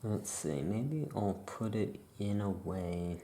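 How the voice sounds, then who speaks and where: A soft-spoken ASMR creator musing to themselves while doing crafts, with writing sounds and faint noise behind. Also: low voice, animated, slow pace.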